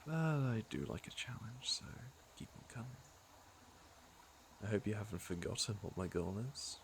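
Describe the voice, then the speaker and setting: A man's voice: on the low side, animated, normal speed, a little hiss or hum. A man playing a teasing, flirtatious fantasy villain with a caring side, in an intimate ASMR roleplay. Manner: smooth-talking, teasing, intimate. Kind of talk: ASMR roleplay acting, intimate second-person address.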